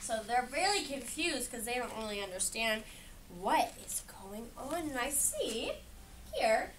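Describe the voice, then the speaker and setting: Animated, high-voiced woman reading to children. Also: a little slow. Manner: asks questions, echoes and affirms children's answers. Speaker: read-aloud educator. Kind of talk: interactive picture-book read-aloud to children. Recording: distant mic in room with background noise.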